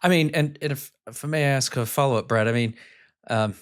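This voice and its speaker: A man's voice: mid-range voice, brisk, smooth. A man in his fifties from the United States hosting a podcast, asking long, circling questions. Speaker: podcast host.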